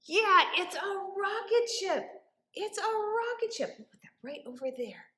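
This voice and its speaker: Woman, high swooping voice, children's storyteller, sing-song, encouraging, slow delivery, echoey room. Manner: animated, exaggerated wonder for young children. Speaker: children's librarian leading story time. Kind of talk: children's story time with rhymes, questions and songs.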